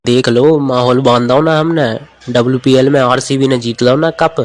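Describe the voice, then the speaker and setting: A man's voice: mid-range voice, steady tone, moderate pace, over music, natural voice. A man playing a boastful, hapless husband in a domestic comedy skit. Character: boastful, hapless cricket-mad husband bickering at home. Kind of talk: comedy skit dialogue in dialect.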